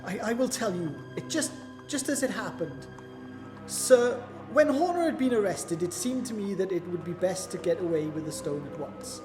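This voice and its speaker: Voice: medium pitch, expressive, moderate pace, close to an everyday voice. An actor playing a cowardly, whining manservant turned thief in a festive radio play, pleading and flustered. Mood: anxious narrating. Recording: long hall reverb, loud music underneath.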